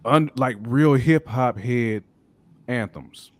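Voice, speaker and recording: A man's voice: mid-range voice, takes it slowly. A man chatting casually as a hip hop podcast panelist, slangy and emphatic in the way he makes his points.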